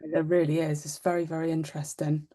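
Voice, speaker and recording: A woman with a low, very mellow voice talks as a podcast co-host. Her phrasing is loose and informal, like relaxed unscripted conversation. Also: brisk. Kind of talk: casual unscripted podcast chat.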